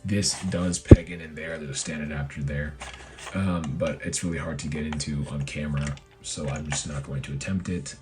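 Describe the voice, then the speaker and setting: A man with a hoarse voice reviewing a collectible figure in a casual, rambling way, full of fillers and self-corrections. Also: a bass voice, fairly even intonation, moderate pace, over loud music. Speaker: toy reviewer.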